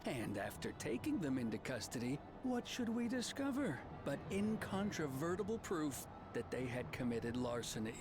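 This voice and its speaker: Woman doing a haughty fed-up deputy guy, slow swooping delivery, noisy game background. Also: extremely low pitch, sing-song, voice pushed down. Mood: stern, condescending. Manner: pompous, exasperated, deliberate. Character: weary, haughty male deputy lawman in western fantasy game.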